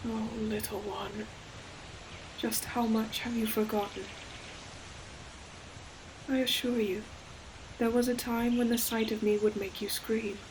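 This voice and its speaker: A woman voicing an ancient, gentle forest demon in a scripted audio roleplay, speaking tenderly in archaic storybook phrasing. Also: mid-range voice, lightly acted, rough recording. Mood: gentle, searching. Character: ancient gentle forest demon, hulking moss-covered creature. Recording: clear forest ambience noise behind voice. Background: forest nature sound effects with animals.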